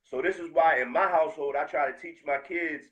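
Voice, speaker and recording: American man, heartfelt, slangy, bright tone. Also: a little high, fairly quick. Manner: heartfelt, street slang, animated. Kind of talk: casual conversation.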